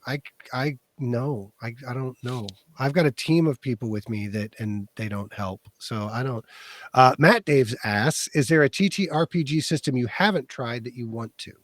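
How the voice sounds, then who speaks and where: A raspy-voiced man hosts a casual stream chat in an easygoing, halting way, full of fillers. His pitch is ordinary and mid-range. The sound is dry, with little room echo. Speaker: host.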